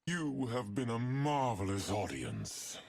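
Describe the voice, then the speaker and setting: Grandiose theatrical assassin, cold, pompous, very slow, dramatic swoops, game voice lines over music. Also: male voice, mid-range voice, big pitch swings, creaky, full character voice. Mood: grand satisfaction. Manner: theatrical, grandiose, deliberate delivery. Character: theatrical male assassin obsessed with art, pompous and coldly cruel. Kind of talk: video game character voice lines. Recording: game clip with music underneath.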